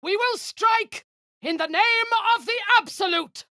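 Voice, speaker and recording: An actress voicing a ruthless drow woman commander who leads zealous raids, speaking in a cold, commanding voice with a husky edge that often sinks into a whisper. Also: very high voice, lively intonation, measured pace, sharp tone, no echo, clean studio sound, exaggerated voice. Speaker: game character. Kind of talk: video game voice lines.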